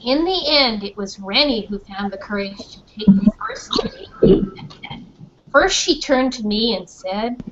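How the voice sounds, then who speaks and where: High-pitched woman as a proud pit bull narrator. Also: expressive, unhurried. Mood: earnest. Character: proud, earnest pit bull dog telling his story. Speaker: narrator of a school play. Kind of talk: scripted storytelling narration. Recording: muffled, noisy school performance recording.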